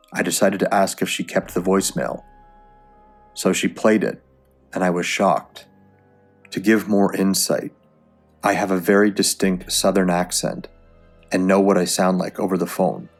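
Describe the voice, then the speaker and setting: A man narrating a first-person creepy story in a calm, measured way. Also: low-pitched.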